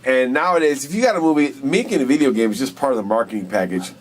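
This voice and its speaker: A man's voice: mid-range voice, expressive, fast talker. A drunk man co-hosting a casual chat, swearing freely and talking in emphatic slang. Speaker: co-host. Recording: noticeable background noise.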